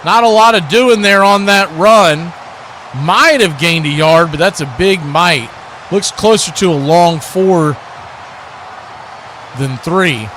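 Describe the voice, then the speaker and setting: Male sportscaster, sharp forceful tone, live football play-by-play, vivid verbs, punchy phrasing, a little background noise. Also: high-pitched, lively intonation, takes it slowly, pauses often. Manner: punchy short phrases, colourful action verbs. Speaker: play-by-play commentator, watch party host. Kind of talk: live American football radio-style commentary.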